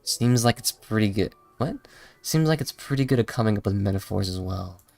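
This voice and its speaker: A man's voice: lowish voice, animated, brisk, vocal fry, dark tone. A man doing casual livestream game commentary, rambling informally and a little hesitantly. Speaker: game streamer. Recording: dry close voice, faint game music.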